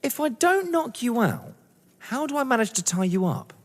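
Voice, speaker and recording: Man as a husky, excitable young British man. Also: very high-pitched, moderate pace, heavily acted. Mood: hesitant, puzzled. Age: young adult. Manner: indignant, excitable. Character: exasperated, wary young male lover drawn into a scheme. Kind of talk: stage-play audio drama acting. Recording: echoey hall reverb.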